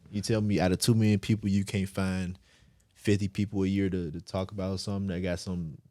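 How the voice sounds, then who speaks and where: A young man in his twenties with a very low, mellow voice talks loosely on a podcast. He is slangy, swears freely and leans on fillers. The sound is close and dry. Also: flat delivery, quick pace, no echo. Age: 20s. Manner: laid-back, slangy, swearing, many fillers. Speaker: podcast co-host. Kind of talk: casual podcast chat.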